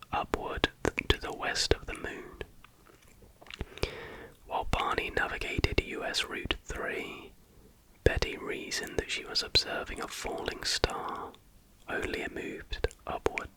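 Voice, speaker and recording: A man speaks in a steady, breathy whisper. He reads aloud in ASMR style, soft and measured, never bringing full voice into the words. The delivery is unhurried and factual. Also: low-quality recording. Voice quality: unvoiced whisper throughout. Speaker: ASMR host reading aloud.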